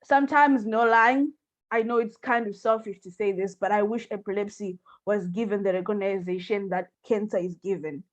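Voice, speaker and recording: A woman's voice: medium pitch, fairly even intonation, moderate pace. A woman from Zimbabwe, a mental health and epilepsy advocate, speaking passionately on a panel call. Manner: passionate, emphatic. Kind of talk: panel discussion on video call. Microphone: video call. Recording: dull video call audio.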